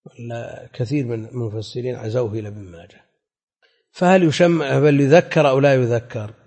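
A man's voice: medium pitch, fairly quick, darkish tone, breathy edge. A man teaching a religious class as a scholar, explaining in a didactic, conversational way and often putting questions to his students. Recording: echoey hall with long reverb.

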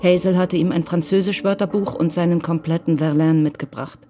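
A woman reading scripted literary narration for a radio crime drama in a measured, matter-of-fact way. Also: on the low side, fairly even intonation, mellow, soft background music.

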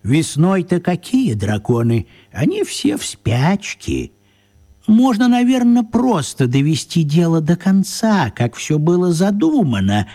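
An actor playing a fussy, apologetic, absent-minded wizard in a children's radio play, hesitant, rambling and fussily polite. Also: male voice, sits high, very animated, measured pace, warm tone, full character voice. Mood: apologetic, flustered.

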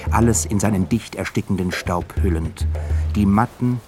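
A man narrating a radio play in a measured, formal literary reading. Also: on the low side. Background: music.

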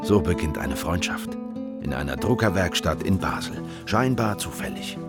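An actor playing a guarded, world-weary traveller who speaks and narrates in a measured, dry, reflective way in a radio drama. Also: male voice, sits low, lively intonation. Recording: studio radio drama under loud guitar music.

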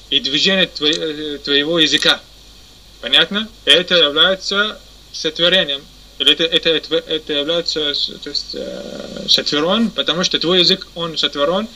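A man teaching a religious lesson. He pauses often, corrects himself, and keeps asking if his listeners understand. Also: a little high, sharp tone, a little hiss or hum, poor audio. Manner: halting, many fillers and self-corrections. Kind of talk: explanatory lesson.

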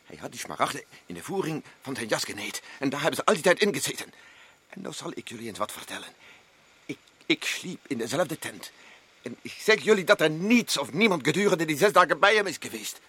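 Male actor: insistent, rattled expedition man, bright tone. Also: a little high, very animated, brisk, slightly rough, exaggerated voice. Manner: urgent, rising to shouts. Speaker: expedition member Joost. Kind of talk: radio drama acting.

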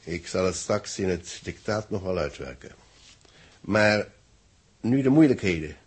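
An actor playing an authoritative man dictating the story of a space mission, measured and formal, in a 1960s radio drama. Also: low-pitched, lively intonation, slight background noise. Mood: explanatory. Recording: muffled-voiced old 1960s radio recording.

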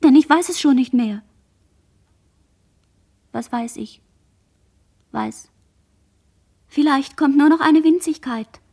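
A woman's voice: high-pitched, takes it slowly, pauses often, a little mellow. A woman playing a dazed young girl who murmurs a fragmented, incantatory inner monologue in a literary radio play. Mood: fragmented, musing.

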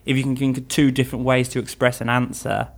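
A man's voice: medium pitch, flat delivery, fast talker, gravelly. A young man from England, an English teacher, chatting casually in an interview, laughing easily and restarting his sentences.

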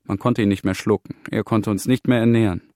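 A man acting as a grim storyteller in a literary radio drama, speaking in a measured, deliberate way. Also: lowish voice, fairly even intonation, dark tone, no echo, studio quality, lightly acted. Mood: grave, measured. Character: grim man telling of economic collapse as 'we'.

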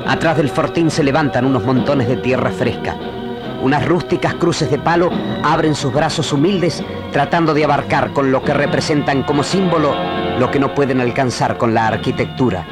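A man's voice: medium pitch, normal speed, loud music behind the voice. A man with an Argentine accent narrating a radio drama in a solemn, poetic, declamatory style.

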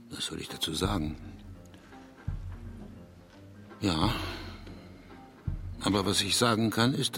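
An actor playing a brooding, hesitant male writer in a literary radio drama, with a husky voice. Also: low-pitched, a bit bright, exaggerated voice. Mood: hesitant, withdrawn. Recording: long hall-like reverb.

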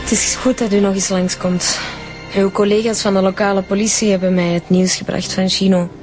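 An actress playing a bitter widow with a Flemish accent, confessing coldly in a radio drama. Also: female voice, mid-range voice, a little fast, soft background music, lightly acted. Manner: calm, cold confession. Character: Annie, bitter widow who killed her husband.

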